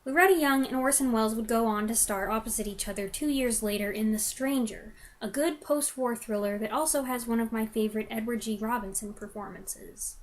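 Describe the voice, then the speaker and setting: A woman reviewer speaks in a fairly high voice. She comments conversationally and gives her opinions freely, slipping in wry asides as she goes.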